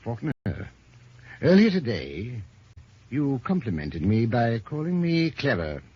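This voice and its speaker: An actor playing a shrewd, commanding police inspector in a radio detective drama, speaking in a measured, formal, authoritative way. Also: male voice, mid-range voice, wildly swooping pitch, slightly rough, exaggerated voice. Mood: accusing. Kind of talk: old-time radio detective drama acting. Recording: muffled old radio recording.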